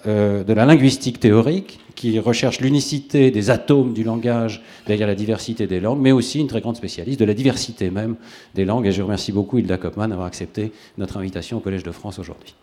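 Man, low voice, warm timbre, host introducing a lecturer, formal, measured, hesitant. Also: steady tone. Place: lecture hall.

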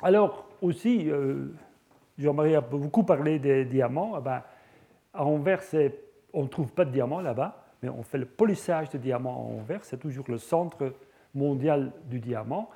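Flemish man lecturing in halting French. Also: mid-range voice, creaky, dark tone. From Belgium (Flanders). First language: Dutch (Flemish). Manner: hesitant, many fillers and restarts. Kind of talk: scientific lecture with slides.

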